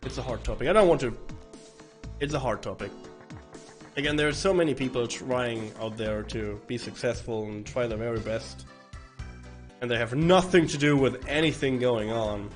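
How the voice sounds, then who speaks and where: A man with a hoarse, rough voice from bronchitis, chatting casually and rambling to stream viewers while playing a game. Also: mid-range voice, moderate pace. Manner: rambling, chatty, swearing, with frequent restarts. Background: faint game music.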